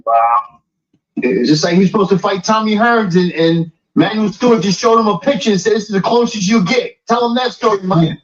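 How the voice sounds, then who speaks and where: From some distance off the mic, a man with a fairly high voice talks casually. The sound is somewhat dull and muffled. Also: expressive, moderate pace. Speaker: third participant on the call. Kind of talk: casual chat.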